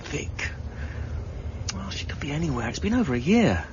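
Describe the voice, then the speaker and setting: An actor in a radio drama playing a loyal, plain-spoken junior police detective with a brisk manner. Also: male voice, fairly high pitch, big pitch swings, lo-fi sound, noisy background. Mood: musing, rueful. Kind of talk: 1990s radio drama acting.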